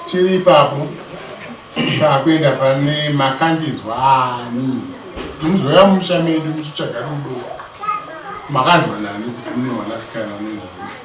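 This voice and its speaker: Zimbabwean guy running a ceremony negotiation, kinda deliberate, far from the mic with noise behind him. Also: mid-range voice. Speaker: lead negotiator for the bride's family in a dramatized Shona bride price ceremony. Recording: roomy, distant, noisy room recording.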